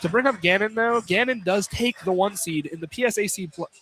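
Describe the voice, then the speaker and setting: A young American man with a high voice for a male. He commentates on college sport live and casually. His delivery is informal, with phrases often restarted and corrected as he goes. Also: a little fast, fairly bright tone. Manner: informal, repeats and corrects himself. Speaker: play-by-play radio commentator. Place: live courtside broadcast in a college field house.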